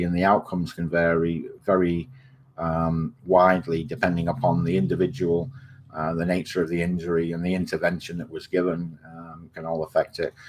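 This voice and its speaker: Low-voiced English host with a partly American accent, chatty and digressive, plenty of filler words. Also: male voice, a little mellow. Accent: English, softened by years in the US. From England. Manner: rambling and digressive, many ums and uhs; friendly sign-off. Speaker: video series host. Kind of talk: informal spoken health explainer.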